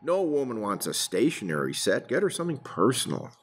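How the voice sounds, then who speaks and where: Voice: mid-range voice, sing-song, fairly quick, breathy edge. An actor playing a folksy, earnest married police detective chatting with a partner in a radio drama. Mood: earnest, advising. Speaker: supporting actor. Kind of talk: old-time radio police drama dialogue.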